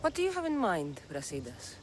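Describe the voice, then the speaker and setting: A woman's voice: on the low side, wildly swooping pitch, a little fast, a little hiss or hum. An actress playing a fierce, foul-mouthed woman mercenary in a game cutscene, who talks bluntly and threatens freely. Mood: dry. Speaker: mercenary hero.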